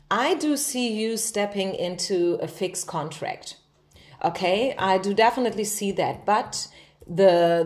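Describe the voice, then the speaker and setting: A woman's voice: medium pitch, a little mellow. Woman giving a tarot reading. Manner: direct, reassuring, addresses listener with frequent check questions. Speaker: tarot card reader. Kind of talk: informal spoken tarot reading to viewers.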